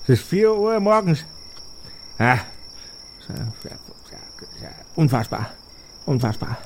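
A man's voice: a little high, very animated, unhurried, a little hiss or hum. Man putting on a grumpy, flustered old magician. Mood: outraged, grumbling. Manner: complaining, sputtering, repeats himself in outrage.

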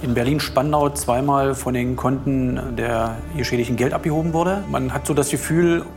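Halting male investigator with gravelly creak. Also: mid-range voice, fairly even intonation. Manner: halting, matter-of-fact, official wording. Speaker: police investigator interviewed. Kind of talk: documentary interview statement. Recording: music laid under interview.

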